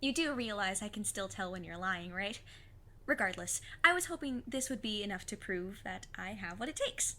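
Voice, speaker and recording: A woman's voice: mid-range voice, animated, moderate pace, sharp tone. A woman playing an earnest, plucky fifteen-year-old girl in an audio drama, with a literal, matter-of-fact manner. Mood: hopeful, earnest.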